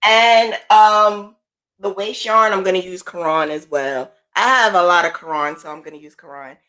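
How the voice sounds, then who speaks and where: A woman from New Jersey chatting casually on a livestream, asking questions and laughing easily. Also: lowish voice. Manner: lively, curious, quick to laugh. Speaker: livestream host.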